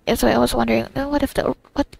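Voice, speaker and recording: Slightly creaky, high-voiced woman gamer thinking aloud. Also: steady tone, rapid-fire, a little mellow, no room sound. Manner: rambling, thinking aloud in fragments. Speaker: gamer commenting on playthrough. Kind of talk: casual playthrough commentary.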